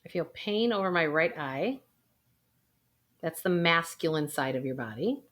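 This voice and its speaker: Woman gently guiding a breathing exercise. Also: low-pitched, animated. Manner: slow, soothing guidance with pauses. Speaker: financial coach. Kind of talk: guided intuition exercise. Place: small indoor room, plain backdrop.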